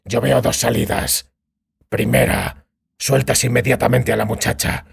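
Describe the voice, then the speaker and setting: A man voicing a cold, controlled witcher in a fantasy audiobook, speaking in a measured, deliberate way. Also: medium pitch, steady tone, vocal fry, breathy edge, heavily acted. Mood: cold threat. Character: cold, controlled male witcher bargaining for time. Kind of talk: dramatized fantasy audiobook dialogue.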